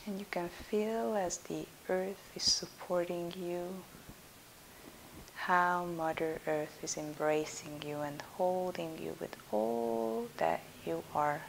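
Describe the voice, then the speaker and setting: A woman with a low, creaky voice guides a relaxation meditation. Her delivery is soft and soothing, trailing into breath at the ends of phrases, with long pauses. A steady hiss sits behind her. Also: poor audio. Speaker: yoga nidra instructor.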